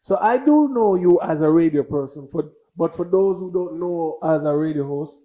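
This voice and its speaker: A man hosts the chat with a fairly high voice. He is playful and teasing, and mixes local patois into his questions. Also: lively intonation, moderate pace, mellow, poor audio. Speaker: interview host. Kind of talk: casual interview chat.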